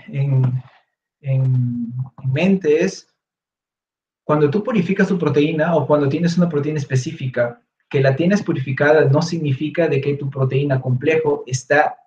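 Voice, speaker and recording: A young man with a Peruvian Spanish accent, relaxed and explanatory, answering questions in an online talk with many fillers and restarts. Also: on the high side, a little hiss or hum. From Peru. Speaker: guest speaker, researcher. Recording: echoey room, dull lo-fi audio.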